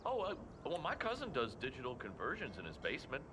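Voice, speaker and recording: An actor playing a chatty, eager employee in a video game, talking casually with small stammers. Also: male voice, medium pitch, huge pitch swings, quick pace, background noise. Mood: eager, helpful. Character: chatty, eager male employee offering helpful favours. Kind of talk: video game character dialogue. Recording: game audio with loud background ambience.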